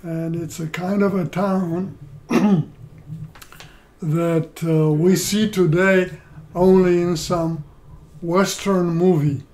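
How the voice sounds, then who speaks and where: An older man from Poland, seated at home, telling his life story in a slow, measured way with many pauses, recorded by a camera across the room. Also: sits high, fairly even intonation, darkish tone. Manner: slow, measured, pausing between phrases. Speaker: interviewee. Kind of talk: oral history storytelling. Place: living room, indoors.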